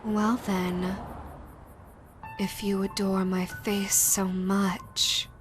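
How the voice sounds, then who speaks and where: A woman playing a female yokai ghost in an audio roleplay, theatrical and deliberate, menacing yet easily flustered. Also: mid-range voice, flat delivery, faint music behind. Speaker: voice actress. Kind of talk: audio roleplay, character speaking to listener.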